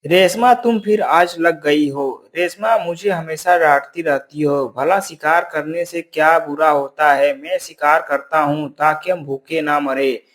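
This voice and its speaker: Man as a grumbling, higher-pitched village hunter. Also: measured pace, hardly any pauses, fairly bright tone. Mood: defensive. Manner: complaining, self-justifying.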